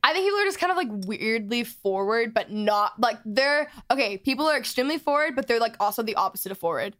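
Brief: young female student host, a bit higher pitch, rambly, lots of "like" fillers, casual chat. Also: expressive, quick pace, a bit bright. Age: young adult. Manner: rambling, heavy filler "like", restarts often. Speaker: podcast host.

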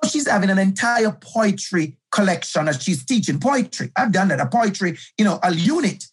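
Male guest educator, middle-aged, husky and emphatic, conversational interview delivery. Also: sits high, expressive, normal speed. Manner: passionate, emphatic, repeats key phrases.

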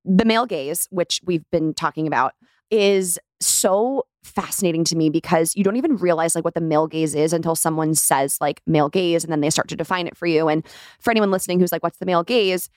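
A woman's voice: on the low side, fairly quick, darkish tone, dry recording, studio quality. A woman hosting a podcast, interviewing in a casual, conversational style.

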